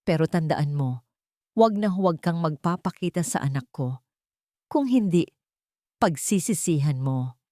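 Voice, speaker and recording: A woman narrator plays a haughty older matriarch of a wealthy family in a radio drama. The voice is on the low side and close and dry, with cold, contemptuous authority. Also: animated, a little slow, long pauses, mellow, no room sound. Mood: threatening. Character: haughty rich older mother, scornful and domineering.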